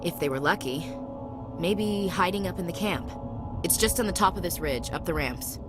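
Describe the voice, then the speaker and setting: An actress playing a tough, dutiful young soldier in a video game, earnest and direct in a military way. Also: female voice, fairly low pitch, expressive, normal speed, over music, close to an everyday voice. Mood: focused, resolute. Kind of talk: video game cinematic acting.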